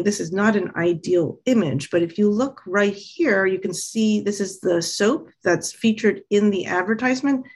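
Husky-voiced woman giving a halting lecture. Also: fairly low pitch. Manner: hesitant, many fillers and restarts. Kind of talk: academic lecture with slides.

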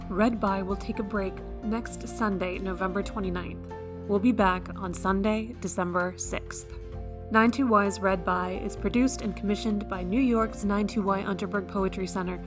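A woman reading a scripted podcast outro announcement. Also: medium pitch. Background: music under the voice.

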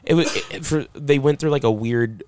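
A man co-hosting a loose comedy podcast, telling stories in a halting, rambling way. He restarts his sentences often and fills the gaps with ums and likes. His pitch sits in a middle range for a man. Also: a little mellow, slight background noise. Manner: halting, many restarts and fillers. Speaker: podcast co-host.

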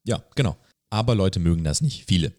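Casual male podcast host, low-pitched, rambling and colloquial, recorded dry. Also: expressive, brisk, darkish tone, no echo. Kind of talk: casual conversational podcast chat.

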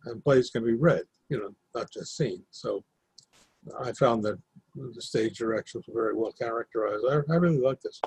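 A man giving thoughtful feedback on a play in a halting, deliberate way. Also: medium pitch, a little mellow, slightly rough, dry recording, low-quality recording. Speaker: commenter on a play.

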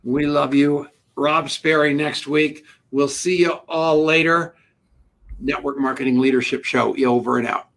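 A man hosting a podcast, bantering and teasing his guest in a brisk, joking manner. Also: on the high side, fairly even intonation, vocal fry, a bit bright. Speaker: podcast host.

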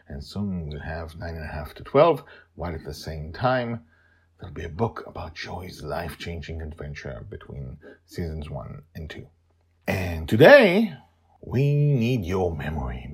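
A man's voice: lowish voice, a little mellow, breathy edge. A man hosting and telling a story in a theatrical, emphatic way, with asides to his listeners. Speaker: storyteller and host.

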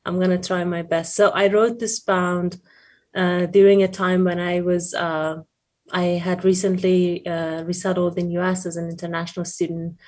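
A woman with an Afghan accent and Persian as her first language answers interview questions. Her voice is fairly low and stays quite flat in melody. She speaks reflectively and hesitantly, searching for the right words. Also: moderate pace. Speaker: poet, interview guest.

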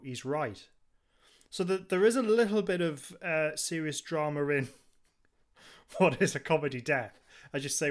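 A man co-hosting a podcast in casual review chat, rambling haltingly and chuckling as he goes. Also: medium pitch. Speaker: podcast co-host.

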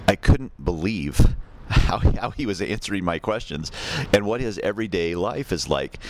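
Very deep-voiced male podcast host. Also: slight background noise. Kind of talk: conversational spoken introduction and interview.

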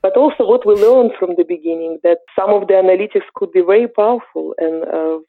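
Ukrainian woman in her forties, podcast guest, halting and thoughtful, heard over a thin, dry phone line. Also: medium pitch, dry recording. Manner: thoughtful, many hesitations. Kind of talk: podcast interview answers.

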